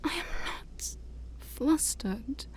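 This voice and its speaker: Soft, slow-talking demon princess, acted by a woman. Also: high voice, expressive, full character voice. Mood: flustered, indignant. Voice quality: soft, breathy, often near whisper. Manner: theatrical, formal wording with awkward hesitations. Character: shy, haughty ancient demon princess, easily flustered. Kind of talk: soft-spoken ASMR roleplay.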